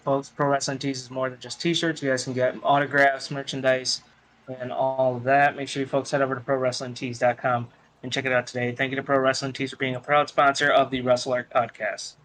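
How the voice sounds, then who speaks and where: A man speaking as a podcast panelist, with a dry, even delivery suited to reads and casual talk. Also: mid-range voice, fairly quick, poor audio.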